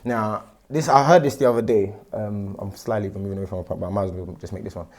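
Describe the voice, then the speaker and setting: A man's voice: lowish voice, steady tone, quick pace, darkish tone. A young man with a London accent, co-hosting a casual panel discussion and building his points earnestly, often checking that he is understood. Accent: London English. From England. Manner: earnest, builds points with repeated "do you get what I'm saying". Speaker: panel co-host.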